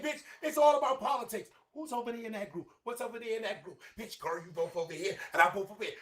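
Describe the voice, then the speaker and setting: A high-voiced woman runs a heated panel argument. She is brash, profane and domineering. Her voice is getting worn and hoarse. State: losing her voice. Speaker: host.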